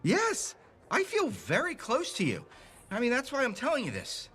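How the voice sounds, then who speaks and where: A man's voice: extremely high pitch, wildly swooping pitch, moderate pace, bright, forceful tone, exaggerated voice. A man playing an earnest, over-familiar gossip who talks confidingly in a video game. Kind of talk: video game dialogue acting.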